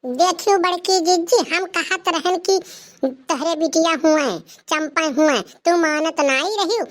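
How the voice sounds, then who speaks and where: A woman voicing an excitable Awadhi-speaking village woman in a cartoon comedy, emphatic and repetitive as she pleads to be believed. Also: very high-pitched, unhurried. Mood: vindicated. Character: excitable village woman bringing gossip to her elder sister.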